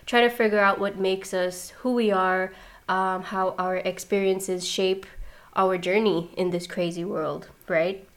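A woman hosting a podcast, upbeat and earnest, speaking directly to her listeners. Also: mid-range voice. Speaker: podcast host.

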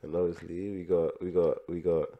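A man's voice: low voice, flat delivery, moderate pace, narrow, tinny audio, a little hiss or hum. A young man who grew up in Dublin and is of Nigerian heritage, co-hosting a casual podcast chat. He talks in a laid-back way, full of filler phrases. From grew up in Dublin, Ireland; Nigerian. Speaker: podcast co-host.

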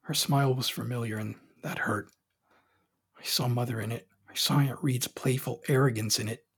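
A young emperor of an audio drama, angry and grieving underneath his pride. His voice is heavily breathy and husky, and he speaks in brooding, formal, clipped phrases. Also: medium pitch, fairly even intonation, moderate pace. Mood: brooding, sorrowful. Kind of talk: audio drama acting with first-person narration.

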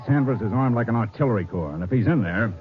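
An actor playing a gruff, businesslike police captain in a 1950s radio drama, speaking in a clipped, commanding way. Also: male voice, mid-range voice, expressive, fast talker, natural voice. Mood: terse, businesslike. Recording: muffled, noisy 1950s radio recording.

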